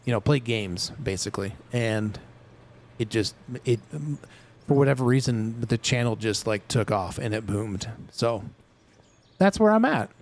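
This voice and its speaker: A man hosting a casual gaming livestream, talking to his viewers in a rambling way with many fillers and restarts. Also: medium pitch. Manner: chatty, talks straight to chat viewers.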